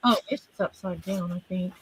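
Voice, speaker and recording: A woman's voice: fairly low pitch, moderate pace, fairly bright tone, slight background noise. A woman chatting casually and thinking aloud, with hesitant fillers as she talks. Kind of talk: casual chat.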